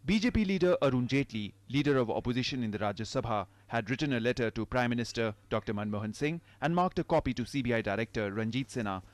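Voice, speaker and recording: A man's voice: medium pitch, quick pace, hardly any pauses. A man with an Indian accent narrating a scripted news report as a reporter.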